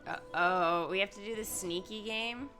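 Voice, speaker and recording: A woman's voice: medium pitch, moderate pace. A woman reacting casually as she live-streams a video game. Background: soft game music.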